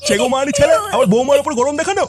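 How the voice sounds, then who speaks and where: A man's voice: very high voice, animated, very fast, heavy background noise. A man voicing an indignant, short-tempered, foul-mouthed older cartoon grandfather. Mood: outraged.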